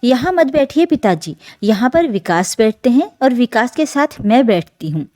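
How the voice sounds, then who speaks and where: A woman voicing a brusque, selfish young daughter-in-law with a sharp, dismissive manner in a family story. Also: on the high side, sing-song, normal speed, no echo, clean studio sound. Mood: sharp, rude.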